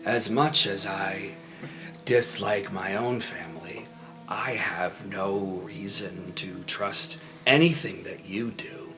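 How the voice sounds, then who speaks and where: A man's voice: sits low, slow pace. A man voicing a guarded young noble creature in a fantasy game, speaking tersely and with cold distrust. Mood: cold, distrustful. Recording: background music under voice.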